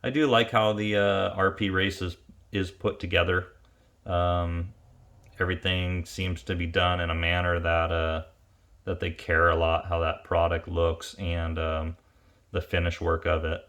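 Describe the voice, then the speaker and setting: A man with a low-pitched voice explains technical test results in an informal, off-the-cuff way. His delivery is halting, often pausing partway through a phrase as he works out what to say next. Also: flat delivery, a bit bright. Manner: halting, pauses mid-phrase while thinking. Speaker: ATV engine tuner and presenter. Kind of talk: informal technical product review, unscripted explanation.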